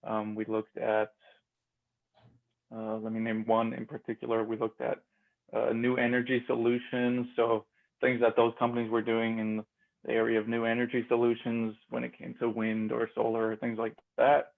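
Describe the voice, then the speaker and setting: A man's voice: mid-range voice, completely flat delivery, normal speed, gravelly. A young man in his twenties from Austria, a grad student guest in a casual interview, talking with many fillers and restarts. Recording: tinny phone-like line.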